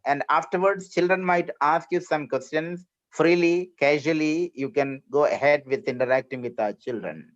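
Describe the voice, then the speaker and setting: A man's voice: on the high side, normal speed, hardly any pauses. A man with an Indian accent who hosts a webinar and politely introduces the speaker. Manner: polite and formal. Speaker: webinar host. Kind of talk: formal introduction. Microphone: video call. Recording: dull lo-fi webinar audio.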